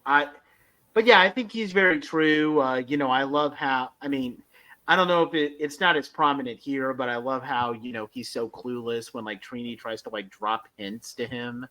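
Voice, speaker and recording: A man co-hosting a casual fan review podcast, talking in a rambling, halting and enthusiastic way. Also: mid-range voice, pushed and bright, poor audio. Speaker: podcast co-host.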